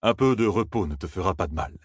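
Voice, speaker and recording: A weary old gleeman speaks low and soft, as a man plays him in an audiobook. His husky voice is patient and soothing, turning firm when scolding. The sound is close and dry. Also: expressive, fast, dry recording, exaggerated voice.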